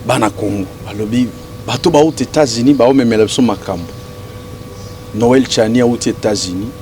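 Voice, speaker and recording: A man's voice: mid-range voice, fairly quick, a little mellow, a little hiss or hum. A man interviewing a guest, hesitant with many fillers, switching between languages. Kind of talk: casual interview questions.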